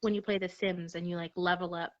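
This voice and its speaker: A woman in relaxed conversation at home over a video call, chatty and laughing often. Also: fairly low pitch, quick pace, highs cut off. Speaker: guest. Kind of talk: casual chat. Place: her apartment.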